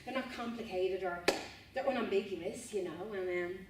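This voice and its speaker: A woman's voice: medium pitch, normal speed, heavy background noise. A young Irish woman giving an informal talk to students, earnest and rambling, repeating and restarting phrases. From Ireland. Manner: earnest, rambling, repeats and restarts phrases, says "you know what I mean". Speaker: spoken word artist giving a talk. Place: university room, indoors. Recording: distant, very echoey room with faint ambience.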